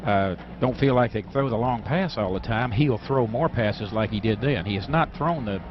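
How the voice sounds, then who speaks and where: A man's voice: lowish voice, normal speed, background noise. A man with an American accent giving conversational football analysis as a radio color commentator. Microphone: broadcast booth microphone. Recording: slightly dull radio broadcast sound.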